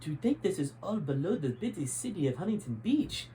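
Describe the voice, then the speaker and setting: A man's voice: fairly high pitch, very animated, fairly quick, mellow, slight background noise, voice pushed up, rough recording. A man voicing a bewildered male taxi driver in a storybook reading, confused and questioning. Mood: amazed.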